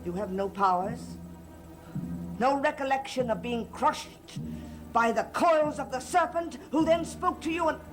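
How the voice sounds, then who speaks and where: High-voiced, menacing occult servant. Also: female voice, a little slow, over music. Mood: menacing, accusing. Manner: severe, accusing, portentous. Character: stern, menacing occult servant guarding a master's secrets. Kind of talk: gothic soap opera acting.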